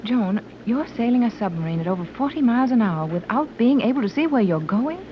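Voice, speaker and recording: A woman's voice: fairly high pitch, lively intonation, brisk, close to an everyday voice. An actress playing a thoughtful, fearless woman explorer, articulate and questioning, in 1930s radio serial style. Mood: curious, amazed. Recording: dull, noisy old 1930s radio recording.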